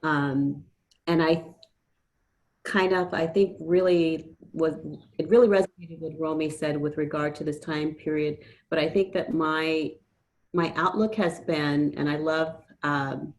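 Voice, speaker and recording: A woman with a low voice talks as a podcast guest. She works her way through her thoughts hesitantly, pausing often with "um.". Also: steady tone, low-quality recording. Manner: hesitant, with many "um" pauses. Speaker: interview guest. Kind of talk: conversational interview.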